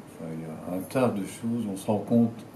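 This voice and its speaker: A retired man in his sixties from Belgium, a volunteer mentor, answering interview questions in a measured way with frequent fillers. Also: lowish voice. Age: 60s. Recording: roomy, from a distance, noisy.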